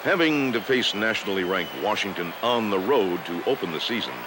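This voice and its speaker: A man's voice: fairly low pitch, animated, a little fast, very noisy. A man narrating a university newsreel from a script, in the manner of a year-in-review report. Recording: music bed under the voice. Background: loud background music.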